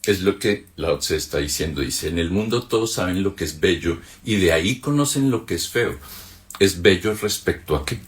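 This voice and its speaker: Deep-voiced Colombian man teaching. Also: lively intonation, moderate pace. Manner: conversational, asks rhetorical checks like "ok?" and "mm?". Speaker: spiritual teacher. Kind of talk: reflective spoken commentary on a text.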